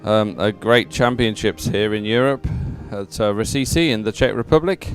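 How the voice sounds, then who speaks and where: A man giving live sports commentary as an event announcer, in a measured, hesitant way with frequent pauses. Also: fairly low pitch, soft background music.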